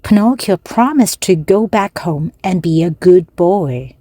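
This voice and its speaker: Slow, careful woman storyteller. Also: on the low side, lively intonation, mellow, dry recording. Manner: slow, careful, evenly paced reading. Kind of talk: slow read-aloud for language learners.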